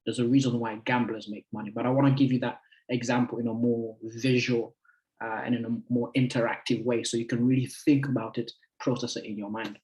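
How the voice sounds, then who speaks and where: Guest speaker, 20s male, easygoing, halting delivery, middle pitch. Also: little pitch movement, darkish tone, rough recording. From United Kingdom. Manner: friendly, chatty, halting with many fillers. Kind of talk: casual talk to an online group.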